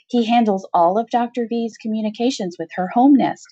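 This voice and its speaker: A woman voicing a quick-thinking female convict in a storybook reading, anxious but bold, eager and placating in manner. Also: fairly high pitch, warm tone, slightly rough, lo-fi sound, voice pushed up. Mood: eager, nervous.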